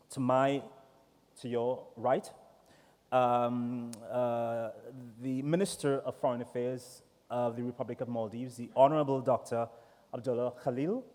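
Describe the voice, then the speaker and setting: A man from Trinidad and Tobago with a Trinidadian accent, chatty and relaxed, moderating a conference panel at a desk microphone. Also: medium pitch. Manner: relaxed, chatty, with many fillers. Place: large conference hall. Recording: echoey hall reverb.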